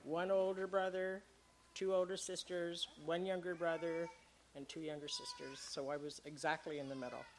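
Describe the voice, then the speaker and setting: A woman's voice: lowish voice, little pitch movement, moderate pace, a little hiss or hum, low-quality recording. A woman in her sixties whose first language is Dutch reads her personal testimony in church, earnest and at times tearful. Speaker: church member giving a baptism testimony.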